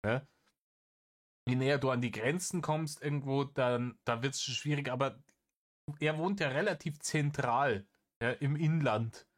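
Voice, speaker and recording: A man's voice: mid-range voice, unhurried. A man giving satirical reaction commentary in an exasperated, sarcastic manner, swearing freely.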